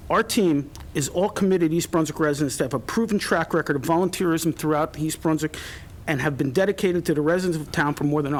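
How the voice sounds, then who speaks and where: A middle-aged man from New reading a steady, prepared opening statement as a council candidate. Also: a little high. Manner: steady, read-from-notes delivery.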